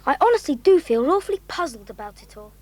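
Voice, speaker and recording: A woman playing a sensible, well-spoken older schoolboy, the calm leader in a children's audio drama. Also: sits high, quick pace. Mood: puzzled, sensible.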